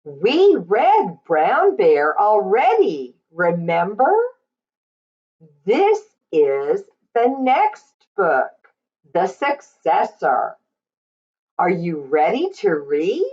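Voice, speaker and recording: A woman reading a picture book aloud to young children, in a sing-song, drawn-out way with questions to her listeners. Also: mid-range voice, huge pitch swings, darkish tone, highs cut off.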